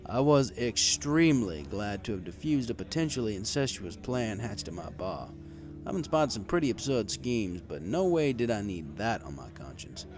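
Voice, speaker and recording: A man's voice: lowish voice, very animated, normal speed, darkish tone, soft background music. A man reading a first-person story in a wry, conversational way. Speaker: story reader. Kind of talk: first-person story narration with acted dialogue.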